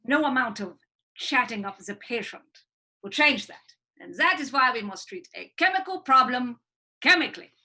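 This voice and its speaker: An actress playing a cold, condescending hospital psychiatrist who talks in a clinical way with hesitant fillers. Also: female voice, a little high, animated, moderate pace, sharp tone, exaggerated voice. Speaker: actor in a livestream script reading.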